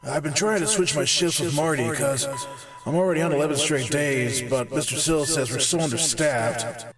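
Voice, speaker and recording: A man's voice: on the high side, moderate pace, close to an everyday voice. A man playing the distraught, pleading ghost of a middle-aged porter in an audio horror drama, with music behind him. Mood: bewildered, weary. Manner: pleading, anguished, rising into screams. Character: distraught mid-forties male ghost of a night-shift porter.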